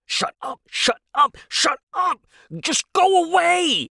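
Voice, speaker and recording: A man plays a young wife at the end of her rope in an audio drama. The voice is pitched up high, biting and scornful, with hard emphasis and incredulity in a close, dry recording. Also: lively intonation, slow pace, creaky, sharp tone, no echo, full character voice. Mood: furious. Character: exasperated, furious young wife, sharp and scornful.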